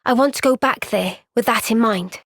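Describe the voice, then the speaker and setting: A young fighter girl in a game cutscene, high pitched, unhurried, sincere and firm, really dry sound. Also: a bit bright, dry recording. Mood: thoughtful. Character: earnest, gentle but firm young woman fighter. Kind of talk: video game cutscene voice acting.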